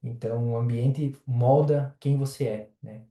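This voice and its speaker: Dark-voiced man, hesitant. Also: medium pitch, measured pace, reverberant. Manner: relaxed, hesitant with many fillers. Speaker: podcast guest, affiliate marketer. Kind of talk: casual podcast chat.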